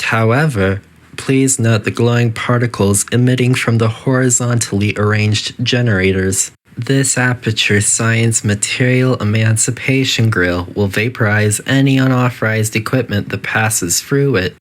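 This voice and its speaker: A performer voicing a polite, coolly menacing computer announcer in a video game, speaking in a formal, measured, detached way. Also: medium pitch, natural voice. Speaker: facility announcer guiding test subjects.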